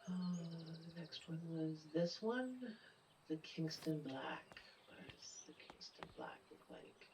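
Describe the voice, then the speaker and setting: A woman with a stuffy, blocked-up nose talks her way through a game, thinking out loud and now and then sinking into a low mutter. Her voice has a gravelly, fry-tinged edge. The mic sits a little away from her, with faint birdsong from the game behind her. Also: sing-song, slow, distant mic, background noise. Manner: thinks aloud, muttering and half-whispering while working things out. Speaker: gameplay streamer. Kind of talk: casual let's play commentary.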